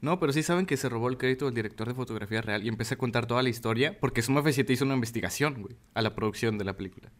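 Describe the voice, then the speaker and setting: A man's voice: mid-range voice, racing, hardly any pauses. A man with a Mexican Spanish accent, telling stories in enthusiastic, slangy casual chat.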